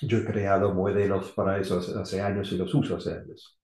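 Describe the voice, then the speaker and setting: A middle-aged man from Argentina, now living in the US, giving an unscripted technical talk on electrical safety in halting, rusty Spanish full of restarts and fillers. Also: low voice, flat delivery, a little mellow. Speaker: electrical safety engineer presenting. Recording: echoey room.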